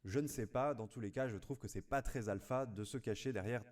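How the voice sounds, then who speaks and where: A man's voice: mid-range voice, fairly quick. A man reading scripted narration with a dry, sarcastic edge. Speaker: video narrator.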